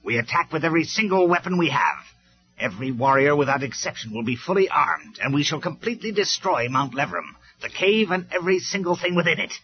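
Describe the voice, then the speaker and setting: A man's voice: mid-range voice, very animated, moderate pace, voice pushed up, lightly acted. A man voicing a ruthless male robot warlord who snaps and barks his orders in a storybook reading. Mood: commanding. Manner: barking, snapping orders. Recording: slightly dull lo-fi recording with faint music.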